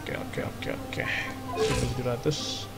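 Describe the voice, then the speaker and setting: An Indonesian man hosts a gameplay video in an informal, slangy way. His voice has a gravelly fry at an average male pitch. Loud game music plays behind him. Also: flat delivery, normal speed, whispered. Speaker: gaming video host. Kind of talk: casual gameplay commentary. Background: loud game music and effects.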